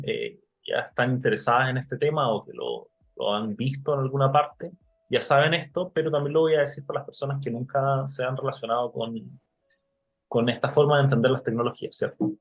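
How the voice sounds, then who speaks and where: A young man from Chile, with a Chilean accent, giving a live online talk about his art and stopping often with fillers and restarts. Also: medium pitch. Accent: Chilean Spanish. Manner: hesitant, many fillers and restarts.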